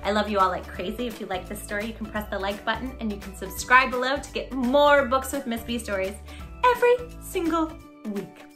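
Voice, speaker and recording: A woman in her thirties chatting to young children as a story time host, in an expressive, exaggerated, affectionate manner. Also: fairly high pitch, a little fast, faint music behind. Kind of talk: animated chat to young children. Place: living room sofa, indoors.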